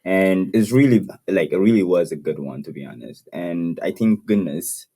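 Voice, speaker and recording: Low-voiced easygoing young man. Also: lively intonation, moderate pace, dark tone, husky. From Ethiopia. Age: young adult. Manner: easygoing, repeats "you know" and "yeah". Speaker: podcast co-host. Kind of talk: casual chat. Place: room, seated in chairs.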